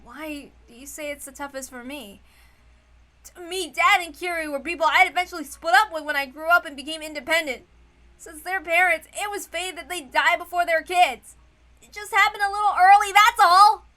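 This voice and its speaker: Here is an anguished teenage boy as played by a woman, hot-blooded and emphatic. The voice sits high and bright, pleading with real force in a visual novel read-aloud. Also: moderate pace. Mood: impassioned grief.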